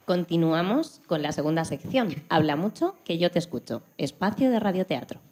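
Low-pitched Spanish woman co-hosting. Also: very animated, normal speed, darkish tone. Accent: Spanish from Spain. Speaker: radio programme co-host.

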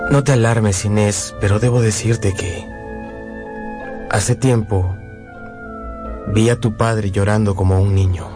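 An actor playing an earnest, polite young man who tells his own story in a radio drama, with measured, expressive delivery. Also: lowish voice. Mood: tender, concerned. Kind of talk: scripted narration with acted dialogue, radio drama. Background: background music.

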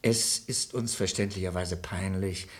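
An actor playing a formal, courteous, politely insistent French bank official with a husky voice in a 1950s radio crime drama. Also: male voice, low-pitched, steady tone, a little slow. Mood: apologetic, formal.

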